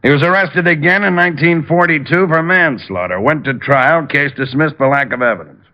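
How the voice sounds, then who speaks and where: A man's voice: on the high side, brisk, lightly acted. A man playing an authoritative, stubborn police lieutenant with a blunt, clipped manner in 1940s radio acting. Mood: dry, official. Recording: muffled old-time radio recording.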